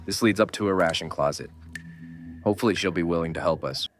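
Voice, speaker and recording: A man playing a gruff, blunt, world-weary survivor mentoring a kid in a horror audio drama. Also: low-pitched, quick pace, soft background music, close to an everyday voice. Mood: subdued, grim. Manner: blunt, scolding, urgent.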